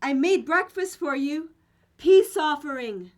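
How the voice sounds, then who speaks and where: A woman's voice: high voice, animated, unhurried, no echo, studio quality. An actress playing a concerned woman in a live radio drama, tentative and earnest as she pleads. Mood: tentative, conciliatory.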